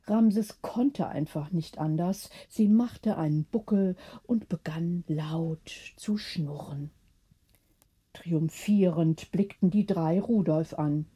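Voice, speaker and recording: A woman narrates a bedtime story in a very mellow, relaxed voice. Her tone is husky and breathy, and she reads at an unhurried pace in scripted audiobook style. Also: fairly low pitch.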